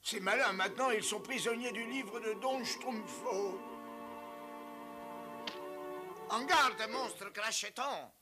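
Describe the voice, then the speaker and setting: A man voicing a boastful, gallant little Smurf storybook hero in an animated cartoon, with theatrical swagger. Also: sits high, a little slow, over music. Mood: indignant, heroic.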